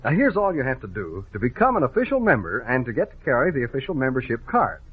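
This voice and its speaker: A man's voice: mid-range voice, big pitch swings, quick pace, husky, narrow, tinny audio. A man with an American accent, announcing on a 1950s children's radio show, urging young listeners in a cheery, direct way on an old broadcast recording.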